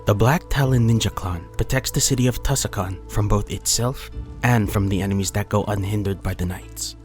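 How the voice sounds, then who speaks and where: An actor playing Meineken, a calm and secretive male ninja who explains old lore in a measured, matter-of-fact way, in an audio drama. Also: on the low side, natural voice. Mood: proud. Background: music.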